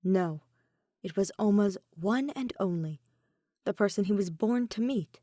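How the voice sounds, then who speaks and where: A woman's voice: medium pitch, darkish tone, dry recording, studio quality. A woman reading a piece of fan fiction as scripted story narration. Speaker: podfic narrator.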